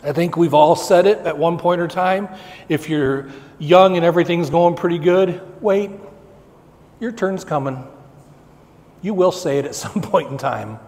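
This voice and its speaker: A middle-aged male pastor preaching a sermon in a church sanctuary, building emphasis with slow, repeated phrases. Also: on the high side, a little mellow. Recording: long reverb of a church hall.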